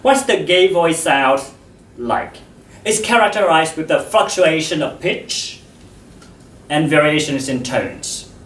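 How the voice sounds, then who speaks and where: Guy giving a talk, slow n deliberate, pitch swoops around a lot, sounds far off in a big echoey hall. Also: lively intonation, a bit bright, slight background noise. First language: Vietnamese. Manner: slow and deliberate, with frequent pauses. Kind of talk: public talk to an audience.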